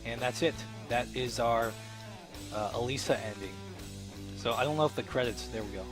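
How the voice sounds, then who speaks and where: A man's voice: medium pitch, normal speed. Male let's play host, mid-range voice, informal and jokey, music underneath. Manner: joking asides and exclamations over the story. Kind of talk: casual game commentary, reading aloud and reacting. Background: game music with plucked guitar.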